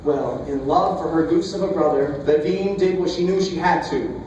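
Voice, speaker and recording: High-voiced theatrical man storyteller, echoing. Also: a little mellow, dull audio. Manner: theatrical, playful, deliberate pauses. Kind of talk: comic live fairy-tale storytelling. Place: storytelling festival, live performance. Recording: echoey, distant, noisy live festival recording.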